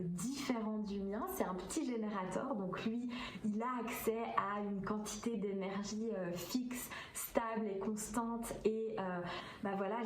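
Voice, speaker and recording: A woman's voice: mid-range voice, a little mellow. Swiss French woman, roomy and distant. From Switzerland. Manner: hesitant, many filler sounds, enthusiastic. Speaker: vlogger and coach. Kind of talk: casual vlog talk to camera. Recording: roomy echo, from a distance, faint background hiss.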